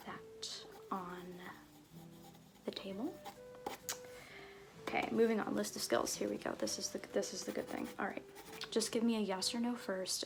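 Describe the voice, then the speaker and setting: A woman playing an easygoing, slyly flirtatious circus fool in an ASMR roleplay, talking softly and hesitantly, often trailing into whispers. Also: medium pitch, vocal fry. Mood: casual, reassuring. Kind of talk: ASMR roleplay, improvised-sounding casual talk.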